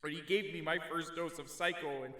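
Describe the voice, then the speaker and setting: A man's voice: fairly high pitch, fairly even intonation, brisk, bright, forceful tone. A man playing a young, bloodthirsty raider son, sentimental and crude, rambling emotionally in a darkly comic audio drama. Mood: grieving, nostalgic. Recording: echoey room, distant from mic.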